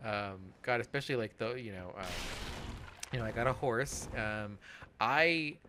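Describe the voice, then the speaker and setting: A man of about forty chatting casually over gameplay, rambling and halting, with plenty of swearing. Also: mid-range voice, pushed and bright, a little hiss or hum. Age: around 40. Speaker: host of a gaming let's play podcast.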